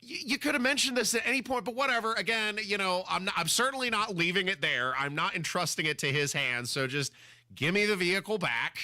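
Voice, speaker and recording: Sarcastic radio host with a cold. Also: male voice, high-pitched, animated, brisk, pushed and bright, no room sound. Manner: exasperated, sarcastic, dramatic asides. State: fighting a cold, a little scratchy. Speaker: radio talk show host. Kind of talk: casual on-air storytelling. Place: radio studio.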